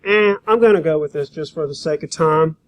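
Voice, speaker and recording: Higher-voiced man giving an informal software tutorial. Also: brisk. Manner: informal, thinking aloud while working. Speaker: graphic design instructor.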